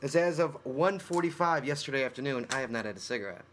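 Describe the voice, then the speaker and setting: A man's voice: medium pitch, lively intonation, fast, a bit bright. Guy on a podcast, kinda coughy from a lost voice, jokey and braggy, faint hiss in back. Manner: joking, boastful, banter. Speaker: podcast co-host. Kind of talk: casual chat.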